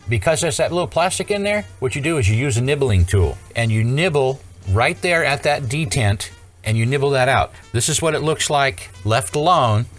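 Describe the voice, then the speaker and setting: Casual man explaining a hands-on repair. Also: mid-range voice. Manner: informal, explanatory, wry asides. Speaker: host, electronics repair tutorial presenter. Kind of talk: hands-on demonstration, unscripted explanation.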